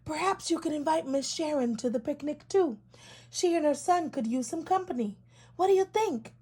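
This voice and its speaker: A woman's voice: sits high, expressive, moderate pace, warm tone. A woman voicing a kind, eager young frog in a children's storybook, speaking earnestly and politely.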